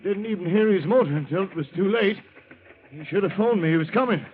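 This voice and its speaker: An actor playing a folksy, plain-spoken and helpful rural householder in an old-time radio drama. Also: male voice, high voice, fast talker, lightly acted. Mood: anxious. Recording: muffled old radio recording.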